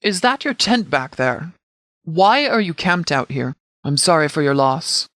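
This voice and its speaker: Man acting as a formal, higher-pitched military commander. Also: very animated, a bit bright, no room sound, studio quality. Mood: firm, measured. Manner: formal, measured, tactical phrasing. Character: composed, formal, pragmatic male military commander. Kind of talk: video game dialogue acting.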